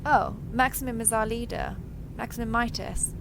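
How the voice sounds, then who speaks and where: A woman's voice: on the high side, moderate pace, vocal fry, noisy background. A woman playing a friendly, chatty giant transforming robot soldier in an audio roleplay, joking and reassuring as she talks to the listener. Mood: earnest, chatty.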